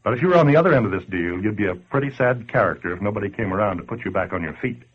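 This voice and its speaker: Blunt, husky streetwise radio man. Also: on the low side, lively intonation, very fast. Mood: blunt, urging. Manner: blunt, sardonic, colloquial. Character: tough, slangy streetwise man scolding complacent listeners. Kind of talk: 1940s radio acting, direct address to listener. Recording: dull lo-fi 1940s radio recording.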